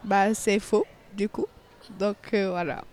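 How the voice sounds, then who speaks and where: A woman's voice: mid-range voice, steady tone, slow, a little mellow. A woman from France answering street interview questions, shy and giggling as she talks.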